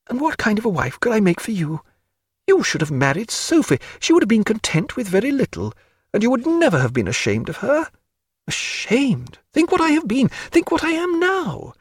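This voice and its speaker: A man's voice: sits high, dry recording, studio quality, voice pushed up, full character voice. A man reading a spirited, proud young woman in an audiobook, fiery and theatrical in manner, swinging from bitter to tender.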